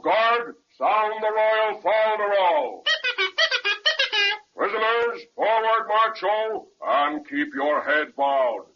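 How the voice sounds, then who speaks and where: An actor playing a stern, officious captain of paper soldiers in a 1930s radio serial, barking orders. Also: male voice, high voice, very animated, takes it slowly, vocal fry, heavily acted. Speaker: captain of the guards. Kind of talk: 1930s radio drama acting. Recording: dull, lo-fi old radio recording with faint hiss.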